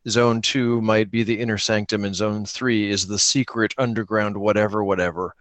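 Animated man chatting, close dry sound. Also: on the low side, normal speed, no room sound. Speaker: podcaster and solo tabletop role-player. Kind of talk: casual chat about tabletop gaming.

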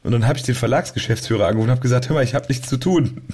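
A man's voice: medium pitch, very fast, a little mellow, husky. A man co-hosting a podcast, chatting casually with friends in a joking, playful manner. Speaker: podcast co-host.

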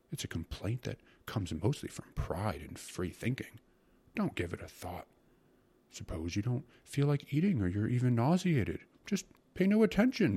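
A man voicing a fussy, gluttonous court secretary in a storybook reading, coaxing and savoring each word with a breathy, stammering delivery. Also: medium pitch, mellow, voice pushed up, heavily acted. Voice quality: breathy, often dropping to a whisper. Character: fussy, gluttonous male court secretary, rapturous about food.

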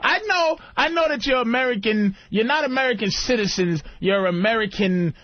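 A man's voice: very high-pitched, lively intonation, moderate pace, a crowd in the background. A man co-hosting a radio talk show, arguing bluntly and profanely with a caller. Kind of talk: heated radio argument. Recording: dull lo-fi radio broadcast.

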